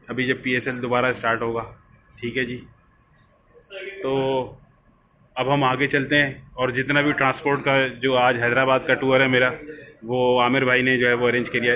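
A man's voice: mid-range voice, low-quality recording. A man giving a chatty guided tour of a road trip, filming outdoors on city streets and inside a bus on a handheld phone, often adding "theek hai ji". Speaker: travel vlogger. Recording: loud traffic and wind noise.

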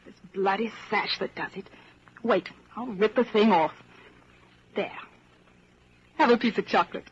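An actress in a 1940s radio drama playing a bold, rebellious woman in her twenties, brisk, blunt and secretly amorous. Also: mid-range voice, lightly acted. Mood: confident, teasing. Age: 20s. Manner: brisk, blunt, matter-of-fact. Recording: muffled, noisy 1940s radio broadcast recording.

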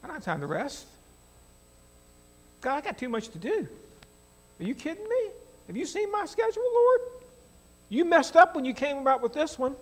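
A middle-aged American man giving a conversational sermon in an animated, emphatic way, asking rhetorical questions. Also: very high-pitched, moderate pace, pauses often. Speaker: preacher. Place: church stage at a lectern, indoors.

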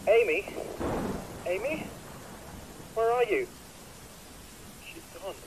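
A man's voice: high-pitched, lively intonation, slow pace. A man playing a terrified, despairing sailor who sends distress calls, panicked and pleading, in a horror audio drama. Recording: noisy radio-style recording, rain and thunder. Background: storm, rain and thunder.

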